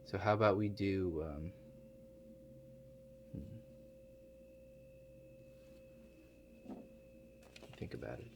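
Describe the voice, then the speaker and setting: A low male voice , a man in character, plays an awkward tinkerer running a future-viewing contraption in an ASMR roleplay. He speaks softly and haltingly, with understated, mumbled asides and long hesitations. Faint noise sits behind the voice. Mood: musing. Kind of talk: soft-spoken ASMR roleplay.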